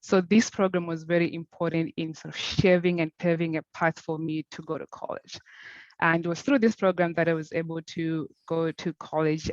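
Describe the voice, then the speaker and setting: Woman, keynote presenter, measured delivery, dry lo-fi audio. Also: low voice, no echo. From Zimbabwe. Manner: measured, explanatory. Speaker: keynote speaker.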